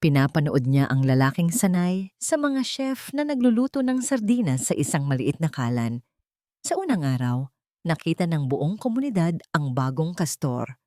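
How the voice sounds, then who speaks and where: Low-voiced woman narrating a romance. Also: moderate pace, dry recording, clean studio sound. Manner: steady, dramatic storytelling. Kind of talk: scripted narration of a romance story.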